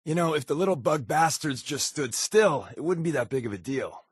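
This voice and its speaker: An actor playing a wry, sarcastic secret agent companion in a post-apocalyptic video game, with a deadpan, wisecracking manner. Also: male voice, a little high, normal speed, husky, no echo, studio quality. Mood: sardonic.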